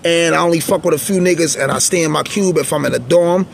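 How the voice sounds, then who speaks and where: A man with a raspy voice telling stories in a blunt, streetwise, rambling monologue. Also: a little high, quick pace, a little hiss or hum. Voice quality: raspy, gravelly voice. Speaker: channel host, former prisoner. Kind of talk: casual unscripted monologue.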